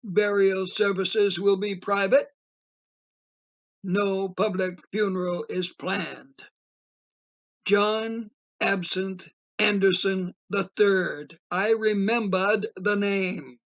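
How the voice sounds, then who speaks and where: Slow, wistful old man storyteller acted by a man. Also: high voice, steady tone, a bit bright, heavily acted. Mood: somber reminiscing. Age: 80s. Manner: wistful, repetitive, halting. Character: old man of eighty-four, musing storyteller awaiting death. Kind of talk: audio play monologue.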